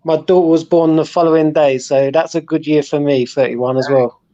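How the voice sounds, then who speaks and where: A British man in his forties chatting casually on a group call, teasing the others with quick banter. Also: a little high, fairly even intonation, dark tone, muffled recording. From United Kingdom. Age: 40s. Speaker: podcast guest.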